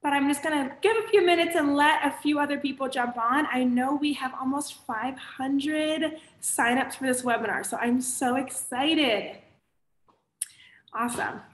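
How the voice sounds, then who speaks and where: A woman from Pittsburgh hosting a live teaching webinar, her voice hoarse and raspy from allergies, talking in an upbeat, chatty way. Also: high voice, a little slow, a bit bright, echoey room. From Pittsburgh, USA. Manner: upbeat, chatty, often says "awesome". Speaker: webinar host and educator.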